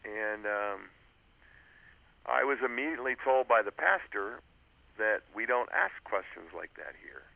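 Creaky-voiced man on a tinny phone line. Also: lowish voice, expressive, measured pace, dry recording. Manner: earnest, anecdotal, with frequent "uh" and restarts. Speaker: author and interview guest. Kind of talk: storytelling on a radio interview.

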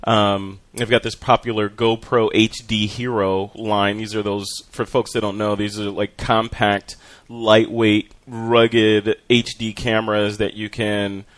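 A man's voice: on the low side, measured pace, no room sound. A man hosting a podcast, chatting casually through photography news with frequent fillers. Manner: relaxed, talkative with fillers and restarts. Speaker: podcast host.

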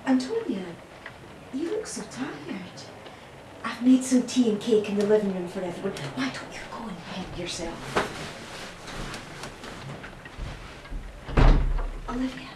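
A woman playing a composed, organised, quietly calculating character in an audio play. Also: medium pitch, lively intonation, moderate pace, close to an everyday voice. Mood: solicitous, calculating. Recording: roomy, distant, noisy background.

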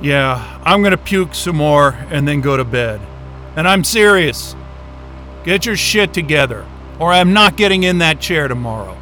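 Actor playing an exasperated, bright-voiced, high-pitched man. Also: animated, unhurried, faint music behind. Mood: weary, grumbling. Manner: sweary, sarcastic, irritable. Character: exasperated, foul-mouthed male astrophysicist stuck at an isolated station. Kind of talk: audio drama acting.

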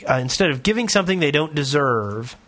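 Bright, higher-pitched male podcast host. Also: big pitch swings, fairly quick. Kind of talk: reading listener email aloud, casual comment.

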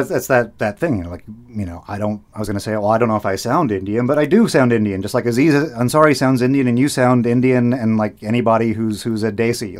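A middle-aged man from the United States, talking casually in an interview, rambling with restarts, fillers and frequent laughs. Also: medium pitch. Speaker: radio host, interviewee.

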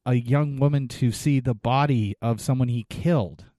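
A man interviewing someone conversationally, halting and searching for his words as he puts his questions. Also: medium pitch, warm tone, dry recording, studio quality.

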